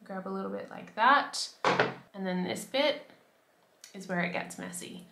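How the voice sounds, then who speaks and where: A woman in her twenties from Australia, chatting casually to camera as a beauty vlogger, her voice slightly raspy from a cold. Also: mid-range voice, measured pace, echoey room, very noisy. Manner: chatty, upbeat, fillers like "like". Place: bedroom by a window.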